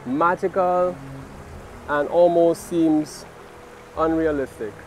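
High-voiced man vlogging a hike. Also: takes it slowly, a little hiss or hum. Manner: relaxed, marveling commentary. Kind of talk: hiking vlog narration. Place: outdoors by a river in nature. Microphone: handheld camera.

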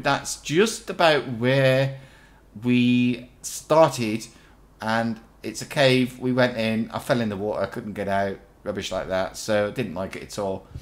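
A man hosting a game playthrough, a bit croaky from illness, rambling and chatty as he thinks aloud. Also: mid-range voice. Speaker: let's play host. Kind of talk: casual gameplay commentary.

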